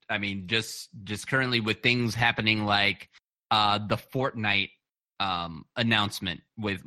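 Man, Spanish first language, very bright edgy tone, casual, laughs a lot, swears. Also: fairly low pitch. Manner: laughs often, swears, stumbles over words. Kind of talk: casual chat.

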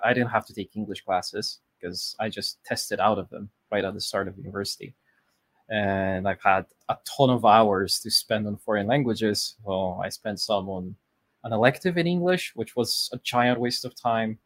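Polish guy, 30, first language polish, lowish voice, easygoing rambly podcast chat. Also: normal speed, no echo. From Poland. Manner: relaxed, rambling with fillers and restarts. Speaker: podcast guest. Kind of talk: casual interview chat.

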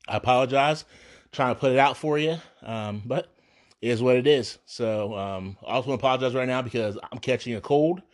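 A man in his thirties talks through his solo podcast with a hoarse, cold-roughened voice. He rambles easily, filling gaps with ums and pausing to cough. His pitch sits at an ordinary middle height. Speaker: podcast host. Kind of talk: casual solo podcast chat.

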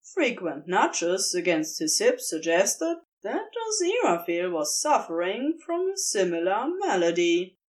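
Slow, melodic woman narrating a story. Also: mid-range voice, big pitch swings. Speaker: podfic reader. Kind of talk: scripted narration of fan fiction.

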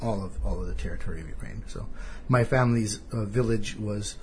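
A middle-aged man from Western Canada, talking as an interview guest in a relaxed, rambling way, with lots of fillers, restarts and small chuckles. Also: lowish voice, a little fast. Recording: faint background noise.

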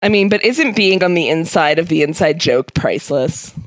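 A woman's voice: low-pitched, lively intonation, normal speed, dry recording. A woman co-hosting a podcast in casual, comedic banter, with a giggly, playful and silly manner. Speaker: podcast co-host.

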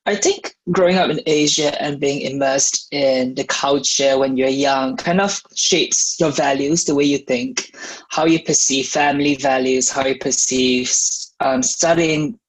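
A young man from Malaysia, a law student, answering interview questions in a thoughtful, measured way. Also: mid-range voice, fairly even intonation, husky, rough recording.

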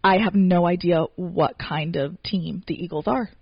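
A woman with a raspy voice, chatting wryly about football on a podcast. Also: lowish voice, moderate pace. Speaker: podcast co-host. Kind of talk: casual sports chat. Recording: dull lo-fi podcast audio.

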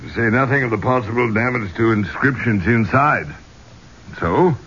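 An actor playing a courteous, placating gentleman who leads an archaeological expedition in a 1970s radio drama. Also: mid-range voice, moderate pace, lightly acted. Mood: concerned. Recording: muffled, hissy 1970s radio recording.

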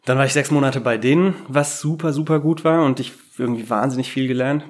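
A man's voice: mid-range voice, fast talker. A young adult man, a game designer and podcast guest, telling his story in a rambling, self-deprecating way. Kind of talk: casual podcast interview. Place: Berlin.

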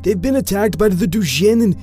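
A man reads a booming old Scottish grandfather, his voice lifted high yet dark and mellow. He bellows and rambles, scattered and warm-hearted, in a storybook reading with faint music behind. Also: a little fast, natural voice. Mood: rambling.

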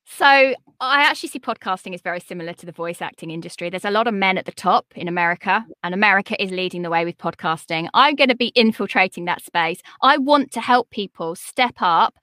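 A woman with a high voice, bright and forceful in tone. She talks with upbeat, motivational confidence, and the recording sits close and dry with no room sound around her. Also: fast talker, few pauses, no room sound. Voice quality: high-pitched. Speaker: podcast and voice coach guest. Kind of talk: energetic interview talk.

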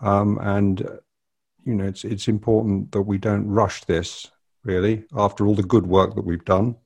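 An older man with a low, gravelly voice hosts a relaxed family podcast. He rambles, hesitates and fills pauses with ums as he talks. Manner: rambling, hesitant with many fillers. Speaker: podcast host. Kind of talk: casual family chat.

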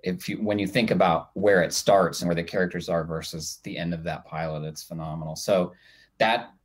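A man's voice: deep voice, fairly even intonation, brisk. A man speaking as a TV writer and guest in a casual panel chat.

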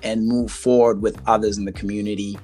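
A man hosting a relaxed interview, from Zimbabwe, speaking in a laid-back way with casual slang and frequent restarts. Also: lowish voice, brisk, soft background music. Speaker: podcast host.

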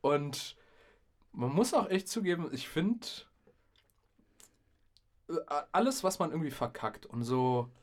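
A man's voice: on the high side, very animated, slow pace, long pauses. Young man telling stories in slangy, casual chat. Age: young adult. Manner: slangy, acts out quoted remarks. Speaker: podcast host and DJ. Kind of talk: casual chat, animated storytelling.

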